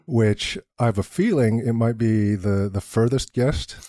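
A man's voice: medium pitch, a little slow, dark tone. A man from Canada with a Canadian accent, a lecturer chatting casually as a guest in an interview. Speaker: podcast guest, university lecturer.

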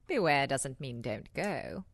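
A poised lady spy played by a woman in a radio play, arch and curious. Her voice sits low and moves with very animated intonation, always coolly clever. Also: slow, dry recording, studio quality, full character voice. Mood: arch, playful. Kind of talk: scripted radio theatre acting.

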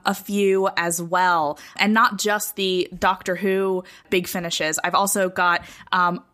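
Bright-voiced woman chatting on a podcast. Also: on the low side, flat delivery, slow pace. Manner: chatty, enthusiastic. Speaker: podcast panelist. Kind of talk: casual podcast chat.

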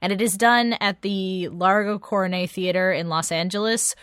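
A woman's voice: mid-range voice, fairly even intonation, normal speed, a bit bright, no echo, clean studio sound. A woman chatting casually as a panelist, with an enthusiastic way of talking.

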